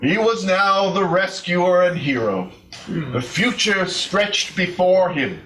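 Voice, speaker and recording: A man reading a story in a measured, theatrical, suspenseful way, as a readers theater performer. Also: sits high, expressive, a bit bright. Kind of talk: dramatic storytelling to an audience. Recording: distant mic, stage show video.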